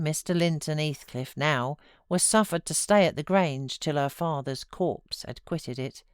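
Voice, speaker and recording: A British woman reading a classic novel as an audiobook narrator, in a measured, expressive, dramatic literary style. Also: low voice, smooth, dry recording, studio quality.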